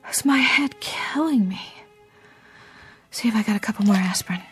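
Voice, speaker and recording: A woman's voice: mid-range voice, normal speed, soft background music, lightly acted. An actress in a radio drama playing an exhausted, anxious female club dancer who frets and protests. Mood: drained, troubled. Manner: fretful, protesting.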